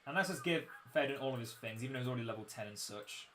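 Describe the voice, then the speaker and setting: A young man commentating casually on his own game livestream, his voice croaky, chatty and self-mocking as he explains the mechanics. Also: mid-range voice, animated, racing, sharp tone, slight background noise, poor audio. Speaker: game streamer.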